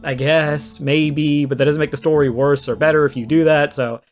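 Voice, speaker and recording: Guy with kinda high voice doing response vid commentary, emphatic and argumentative, music in the back. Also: fairly even intonation, normal speed. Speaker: video essayist responding to another creator. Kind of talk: animated commentary in a response video.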